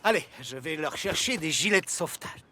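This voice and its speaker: Bright, animated, hearty boat captain. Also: male voice, on the high side, a little fast, slight background noise. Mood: cheery. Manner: hearty, expressive. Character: jolly, kindly male harbour boat captain. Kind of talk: French cartoon dub acting.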